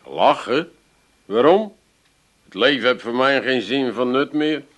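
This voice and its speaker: A gloomy lodger who complains melodramatically that life has no meaning. His voice is mid-pitched, and he speaks with an Amsterdam accent. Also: expressive, a little slow, fairly bright tone. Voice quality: mopey, melodramatic. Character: gloomy, self-pitying middle-aged lodger. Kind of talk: radio play acting.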